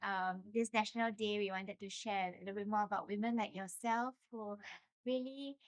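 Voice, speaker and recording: A woman's voice: medium pitch, fairly even intonation, moderate pace, dry recording. Effusive woman interviewer. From Singapore. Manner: effusive, gushing with many fillers. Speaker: host, fashion brand owner. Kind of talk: casual interview.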